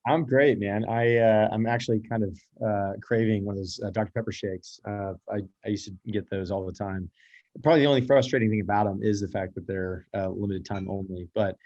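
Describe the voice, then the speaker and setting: A man from the Texas Gulf Coast co-hosting a casual podcast, talking in halting bursts full of fillers and restarts. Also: fairly low pitch, darkish tone, no room sound, highs cut off. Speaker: co-host.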